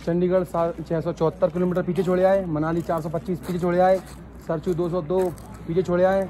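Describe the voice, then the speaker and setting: A man's voice: sits high, flat delivery, a little fast, dark tone. A man vlogging from the roadside in a cold mountain town, chatting to viewers and reading out road distances, slightly unwell from the altitude. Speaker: travel vlogger. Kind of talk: casual travel vlog chat. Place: outdoors by a roadside sign in cold mountain sunlight. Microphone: phone camera at arm's length.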